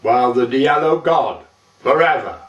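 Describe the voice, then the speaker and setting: Slow, echoey man reciting dramatic verse. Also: mid-range voice, expressive, dull audio. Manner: measured, deliberate, theatrical phrasing. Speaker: monologue performer, reciter. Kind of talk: dramatic poetry recitation, old-fashioned narrative monologue. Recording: roomy, echoing, distant microphone.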